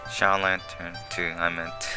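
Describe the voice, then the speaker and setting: A man giving casual, low-key commentary on a game playthrough and reading out dialogue choices hesitantly. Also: little pitch movement, takes it slowly. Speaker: gamer narrating a playthrough. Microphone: amateur microphone, voice quieter than game. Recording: quiet mic under loud game music.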